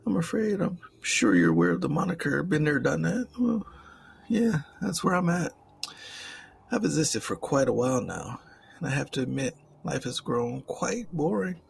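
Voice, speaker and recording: Gravelly, suave ancient demon, played by a man. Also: fairly high pitch, sing-song, a little hiss or hum, full character voice. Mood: jaded, confiding. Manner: drawn-out, theatrical, formal wording, half-whispered phrases. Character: ancient suave male demon, jaded, sly, condescending. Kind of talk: ASMR roleplay monologue.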